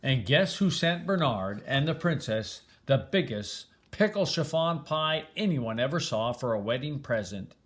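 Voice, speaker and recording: A man's voice: mid-range voice, expressive, a little slow, hardly any pauses, fairly bright tone. An older man reading a children's storybook aloud as a grandfather would, with expression.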